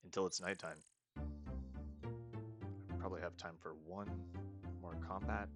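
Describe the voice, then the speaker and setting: A man's voice: deep voice, steady tone, a little slow, over loud music. A man casually commenting as he plays a game, chatty and self-mocking as he thinks aloud. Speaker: let's play host.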